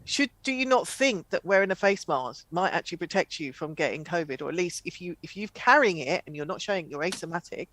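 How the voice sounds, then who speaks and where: A woman co-hosting a comedy chat show, talking casually in rambling, halting explanations. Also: lowish voice, expressive, fairly bright tone, no room sound, low-quality recording.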